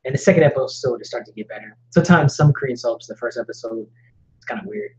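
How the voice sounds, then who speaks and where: Man, mid-pitched voice, rambling jokey chat, trailing off quietly, dull lo-fi audio. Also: animated, fast. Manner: rambling, joking, many trailing quiet asides. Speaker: co-host of a couple's channel. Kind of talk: casual live-stream chat.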